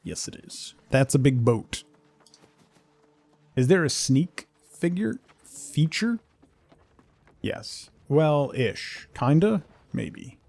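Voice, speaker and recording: A man's voice: fairly high pitch, sing-song, drawn-out words, vocal fry, pauses often, darkish tone. A man giving casual live game commentary, playfully muttering asides and short exclamations as he chats to viewers. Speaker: game streamer.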